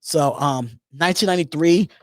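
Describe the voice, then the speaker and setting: A man in his thirties talks loosely to his live-stream viewers, filling gaps with ums and saying the same phrase twice. His voice sits a bit high for a man. The sound is close and dry, with no room around it. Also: normal speed, no echo. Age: 30s. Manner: relaxed and chatty, with many ums and repeated phrases. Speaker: host of a live call-in show. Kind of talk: casual live-stream chat reading viewer comments.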